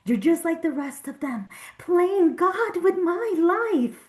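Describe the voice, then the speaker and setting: A woman's voice: very high-pitched, animated, slow, a little mellow, full character voice. A woman playing an erratic, hostile, foul-mouthed patient in a drama table read, confrontational and switching between Spanish and English. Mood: furious, agitated.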